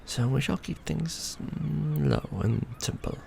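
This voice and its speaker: Man playing a gravelly, courtly vampire lord. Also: fairly high pitch, sing-song, darkish tone, a little hiss or hum, heavily acted. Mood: proud, dignified. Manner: formal, archaic, theatrical, measured phrasing. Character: courtly centuries-old male vampire lord, proud, doting lover. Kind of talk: romantic ASMR roleplay, scripted monologue.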